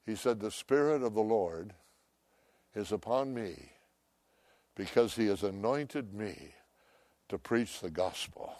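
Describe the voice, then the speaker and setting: Loud, emphatic older male preacher. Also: fairly low pitch, lively intonation, slow pace, pauses often, no room sound. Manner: loud, emphatic, swings between shouting and hushed tones. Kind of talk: impassioned sermon.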